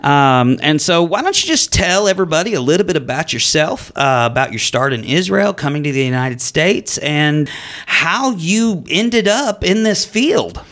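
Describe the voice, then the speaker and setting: A man's voice: fairly high pitch, expressive, moderate pace, fairly bright tone. A man hosting a business radio show from a studio, upbeat and enthusiastic as he welcomes and introduces his guest. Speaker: radio host. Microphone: studio microphone.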